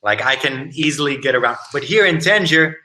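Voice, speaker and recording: A man's voice: mid-range voice, expressive, fairly quick, sharp tone. A young man, an English tutor, chatting casually on a video call, telling stories animatedly and mimicking locals.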